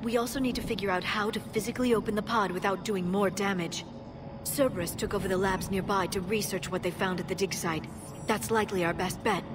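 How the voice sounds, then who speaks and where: A woman voicing an earnest young female alien scientist who explains things calmly, in a video game cutscene. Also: medium pitch, a little fast, noisy background, natural voice. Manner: calm, explanatory. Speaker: archaeologist companion. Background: game music.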